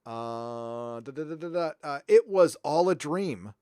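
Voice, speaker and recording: Casting note: middle-aged male streamer, slightly high voice, loose and lively, dry close mic. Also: unhurried, smooth, dry recording. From Southern California. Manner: loud and animated, swings from mumbles to shouts. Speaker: livestream host. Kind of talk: casual livestream chat reading donations.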